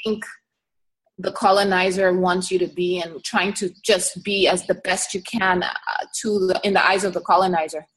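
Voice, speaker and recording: A middle-aged woman from East Africa, a herbalist guest speaking in a relaxed, earnest interview and pausing often to think. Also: lowish voice, rough recording. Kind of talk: casual conversational interview.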